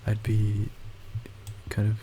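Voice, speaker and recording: A young man, a college student, talking in a quiet, soft voice about himself, hesitant and halting with many restarts and fillers, into a boosted desktop mic. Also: on the low side, monotone, a little hiss or hum. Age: young adult. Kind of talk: rambling personal monologue. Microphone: desktop microphone with boosted gain.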